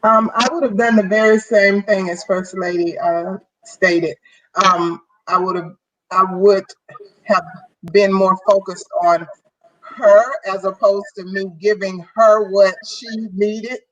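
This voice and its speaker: A parent speaks earnestly and self-critically in a loud, strong voice. The sound is dry and close, with little room echo. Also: mid-range voice, level tone, takes it slowly, lo-fi sound. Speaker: guest parent.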